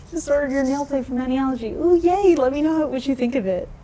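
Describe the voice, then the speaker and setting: Chatty woman with a mellow voice. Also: brisk, a little hiss or hum. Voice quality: low voice. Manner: chatty, laughs often, reads and answers live comments. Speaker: nail polish vlogger. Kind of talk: casual livestream chat.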